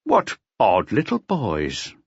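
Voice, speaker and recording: Man putting on a fussy, drawling magistrate, swooping pitch. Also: wildly swooping pitch, takes it slowly, gravelly, husky, no room sound, lo-fi sound, full character voice. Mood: aloof, drawling. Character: fussy, aloof male magistrate, stuffy and weary of trouble.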